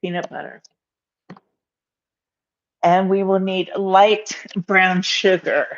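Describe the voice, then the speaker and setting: A woman's voice: mid-range voice, little pitch movement, slow pace. A woman in her fifties hosting a casual live cooking stream from her home kitchen, rambling with many fillers and little laughs, recorded on a phone on the counter. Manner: rambling, giggly, lots of fillers. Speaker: livestream cooking host. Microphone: phone camera on counter. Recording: dull lo-fi phone audio.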